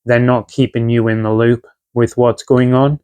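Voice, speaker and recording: A man gives a relaxed tarot reading with plenty of fillers and asides. He is still recovering from a chest infection, and his mid-range voice sits close and dry with no room sound around it. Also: medium pitch, normal speed, smooth, dry recording. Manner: conversational, with frequent fillers and asides. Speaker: tarot reader. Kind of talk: casual tarot reading to camera.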